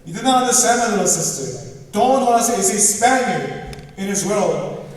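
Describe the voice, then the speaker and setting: A stern, protective brother, acted by a young man on stage speaks in a high voice. He is grave and foreboding, declaiming his warnings. The sound is distant, with heavy hall reverb. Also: lively intonation, normal speed. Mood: grave urging. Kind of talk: amateur stage play acting. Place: theater stage, indoors. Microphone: distant camera. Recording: echoey theater hall from far off.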